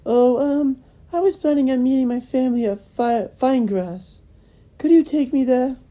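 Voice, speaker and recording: A woman's voice: high voice, normal speed, dark tone, pitched above the performer's own voice, natural voice. A woman voicing a polite, timid young princess with a hesitant manner, in a storybook reading.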